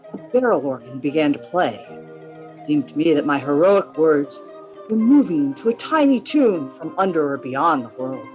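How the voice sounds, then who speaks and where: A man's voice: on the high side, low-quality recording. A man reading the part of a witty, chivalrous poet who narrates his own adventure in a radio play, in formal, theatrical language over a video call.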